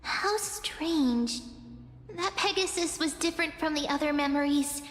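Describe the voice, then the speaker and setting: An actress voicing a sensitive young woman companion who speaks slowly in a breathy hush. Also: high voice, echoey room, long reverb tail, a little hiss or hum. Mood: uneasy. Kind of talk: game voice acting.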